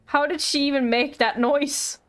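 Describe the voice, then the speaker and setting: A woman's voice: high-pitched, steady tone, normal speed. A woman streamer reacting casually to a game, rambling and teasing, often repeating her words. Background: game music.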